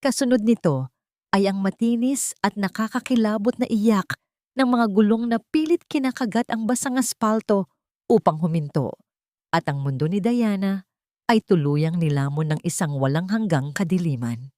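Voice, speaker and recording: A woman narrating a dramatic bedtime story in a steady, grave, scripted way. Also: medium pitch, moderate pace, no echo, studio quality.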